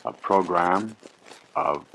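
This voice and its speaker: A man's voice: deep voice, slow, a bit bright. A man announcing a 1940s radio program in a portentous style. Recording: noisy old radio recording.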